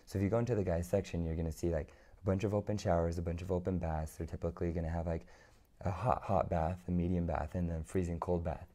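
A young man in his twenties, hoarse from being sick, chatting easygoing as an interview guest on a lapel mic in a large indoor studio. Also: low voice, little pitch movement, fast, warm tone. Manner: easygoing, many fillers and restarts. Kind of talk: casual interview chat.

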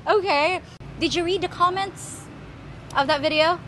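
A woman's voice: extremely high pitch, measured pace, a bit bright, a little hiss or hum, low-quality recording. A young woman with a Filipino accent hosting a casual interview outdoors. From Philippines. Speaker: host and interviewer. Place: outdoor bench in a public plaza.

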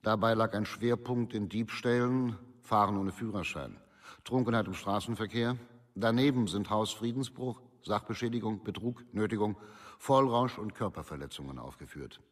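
An impersonal official voiced by a man reads out a record in a documentary radio play. His voice is slightly low. The delivery is formal and bureaucratic. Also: normal speed, lightly acted. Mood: dry, official. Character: impersonal male official reading a criminal record.

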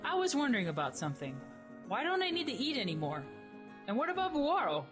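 Woman as a flippant, animated young heroine. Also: high voice, quick pace, over music. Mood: casual, curious. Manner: casual, sardonic. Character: flippant, curious young female creature, dead soul. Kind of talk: radio play acting.

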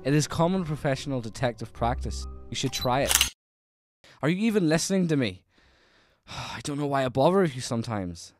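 Male actor for exasperated professional detective inspector, radio drama. Mid-pitched, precise, very formal diction, no slang. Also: animated, brisk, a little mellow, soft background music, natural voice. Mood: stern, businesslike. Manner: formal, clipped, no contractions. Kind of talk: amateur radio drama acting.

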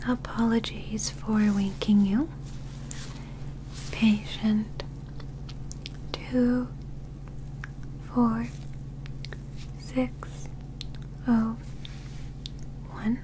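A young woman playing a calm, caring alien physician in a whispered, gentle ASMR medical roleplay, close to the microphone over background noise. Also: on the high side. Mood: gentle, reassuring. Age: young adult. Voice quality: whispery, soft. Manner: slow, gentle, clinical, deliberate.

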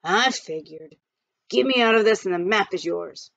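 A woman voicing a sneering, scarred male outlaw dentist who talks gruff and terse, on a dull lo-fi recording. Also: mid-range voice, expressive, moderate pace, fairly bright tone, no echo.

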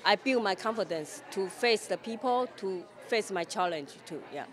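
A middle-aged woman from Taiwan speaks English as a second language, her first tongue being Mandarin Chinese. She talks earnestly in short, simple phrases at a middle pitch. She is interviewed with a handheld mic inside a restaurant hall. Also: lively intonation, normal speed, a bit bright. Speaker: restaurant owner and adult learner.

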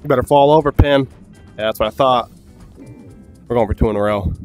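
A young man giving casual, excitable gameplay commentary, swearing often and laughing a lot. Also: mid-range voice, a little fast, soft background music. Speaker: gaming YouTuber.

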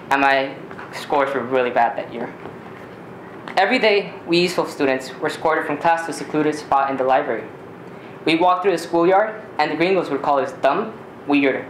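A teenage male from Ecuador, a native Spanish speaker, reading a personal story aloud in a measured, deliberate way with occasional stumbles. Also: fairly high pitch. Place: small community theater stage. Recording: roomy live stage recording, faint noise.

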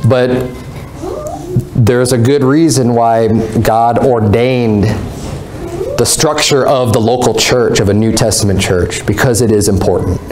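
An American man in his thirties preaching as a pastor, explaining scripture in an informal, conversational way with repeated phrases. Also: mid-range voice. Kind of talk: sermon, conversational Bible teaching. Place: small indoor room with block walls, at a lectern. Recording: echoey room, mic at a distance.

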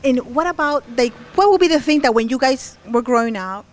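A woman's voice: high voice, expressive, brisk. A woman hosting an interview, chatty and upbeat, switching languages to repeat her questions into a handheld mic outdoors. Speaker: host and interviewer. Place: outdoors on the porch of a large unfinished house.

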